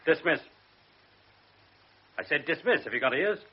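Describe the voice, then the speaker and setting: An actor playing a blustering army colonel in an old radio drama, barking orders. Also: male voice, on the high side, lively intonation, unhurried, slightly rough. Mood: stern. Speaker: commanding officer. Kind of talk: 1960s radio drama acting. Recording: dull lo-fi radio broadcast.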